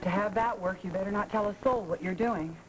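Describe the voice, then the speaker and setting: An actress voicing a self-assured, flirtatious woman with a wry, teasing way of talking. Her voice sits in a middle pitch, and the scene plays like 1980s daytime television. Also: brisk, background noise, lightly acted. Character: poised, flirtatious professional woman. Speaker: soap opera character. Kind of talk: 1980s daytime soap acting.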